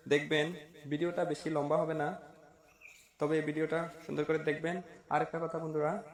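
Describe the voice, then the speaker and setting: Man, slightly high pitch, flat intonation, casual tutorial narration, instructional. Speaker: tutorial host.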